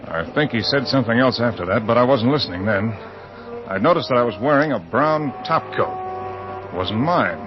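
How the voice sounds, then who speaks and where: An American actor in an old-time radio drama, playing a bewildered young mystery writer who narrates in a hesitant, brooding way. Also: male voice, mid-range voice, animated, a little fast, close to an everyday voice. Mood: brooding, uneasy. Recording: dull old radio recording, music behind.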